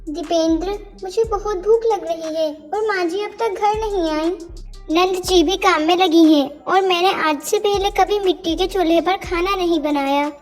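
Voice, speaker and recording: A woman's voice: very high voice, normal speed, soft background music. A woman voicing a sweet, sensible young daughter-in-law in a cartoon moral story, speaking earnestly. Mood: worried.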